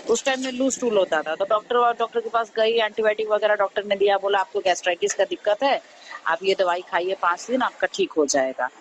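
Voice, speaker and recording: Female caller, Indian accent, mid-pitched, earnest and repetitive, chatting on a phone recording with faint noise. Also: fast. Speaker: caller seeking health advice. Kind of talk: casual chat, recounting symptoms. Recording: slightly noisy phone call recording.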